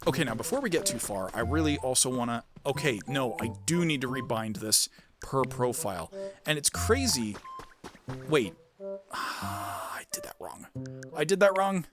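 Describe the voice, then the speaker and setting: Casting: casual male gamer commentating on his own play, informal, with clear music behind him. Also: mid-range voice, animated, normal speed. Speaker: gamer and amateur voice actor.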